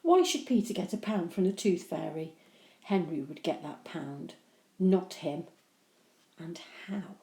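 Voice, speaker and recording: Female children's story reader, expressive and unhurried, wide-ranging intonation, roomy recording. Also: lowish voice. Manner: animated, deliberate. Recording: echoey room.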